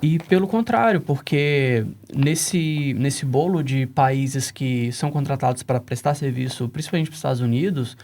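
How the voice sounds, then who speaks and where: A man's voice: mid-range voice, normal speed, few pauses, darkish tone. A man with a Brazilian accent, explaining emphatically as a guest on a casual panel talk. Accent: Brazilian Portuguese. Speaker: panelist, English teaching founder.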